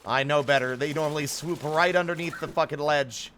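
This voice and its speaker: A man's voice: on the high side, brisk, background noise. A man livestreaming a game, chatting casually with playful, self-interrupting, sweary commentary.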